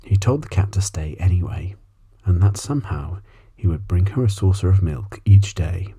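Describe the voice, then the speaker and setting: A man softly reading a bedtime story as ASMR narration, gentle and unhurried, with a breathy, husky voice. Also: deep voice. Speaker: audiobook reader.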